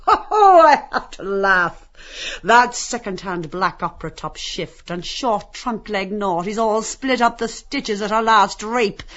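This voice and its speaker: A woman's voice: mid-range voice, lively intonation, measured pace, fairly bright tone, dull audio. A woman playing a sadistic, domineering brothel madam in a dramatized audiobook, commanding, jeering and theatrical. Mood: jeering, amused.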